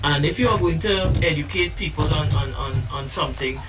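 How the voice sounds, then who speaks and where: A man's voice: fairly high pitch, a little fast, distant mic, over music. Man, earnest explainer, stumbling restarts, set back from the mic. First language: English. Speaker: guest musician. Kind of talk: live-stream interview chat.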